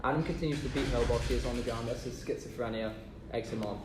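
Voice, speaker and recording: A man's voice: mid-range voice, quick pace. A man reading stage directions aloud from a script. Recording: echoey, distant room with noise.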